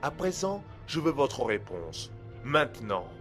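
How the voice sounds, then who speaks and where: A man playing a cold, menacing blackmailer in an audio drama, speaking in a smooth, condescending and formal way. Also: medium pitch, big pitch swings, slow, a bit bright, soft background music. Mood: coldly threatening. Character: cold, menacing male blackmailer and hacker, smugly in control. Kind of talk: amateur audio drama acting.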